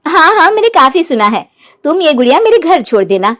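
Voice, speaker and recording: A woman voicing a shrewd, self-assured village wife with a brisk, assured manner in an animated moral story. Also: sits high, pitched above the performer's own voice.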